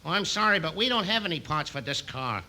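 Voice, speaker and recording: High-voiced, eager gas station attendant. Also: male voice, very animated, fast, bright, forceful tone, heavily acted. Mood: matter-of-fact. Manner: folksy, pestering, eager. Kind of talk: 1950s radio comedy character acting. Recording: noisy vintage 1950s radio recording.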